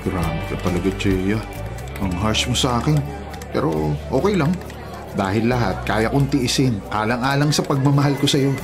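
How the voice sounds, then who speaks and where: An actor playing a persistent, lovestruck young suitor in a Filipino radio drama, earnest and pleading. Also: male voice, medium pitch, normal speed, music in the background, natural voice. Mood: hurt, devoted.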